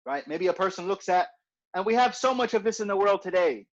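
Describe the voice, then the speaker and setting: A man's voice: high voice, animated, brisk. A man teaching an informal religious class in a conversational way, repeating his points and often asking "right?". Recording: dull lo-fi recording.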